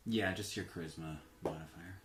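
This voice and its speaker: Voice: low-pitched, moderate pace. A game master narrating a tabletop game in a casual, conversational way. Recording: echoey room with faint background noise.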